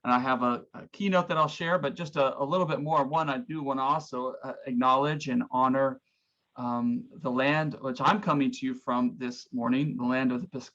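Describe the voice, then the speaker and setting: A man from Oklahoma, a pastor, giving earnest, reflective and somewhat halting opening remarks over a video call. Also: medium pitch, fairly even intonation, fairly bright tone. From Oklahoma, United States. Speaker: pastor, guest speaker. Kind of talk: informal opening remarks of a talk. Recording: dull, lo-fi video call audio.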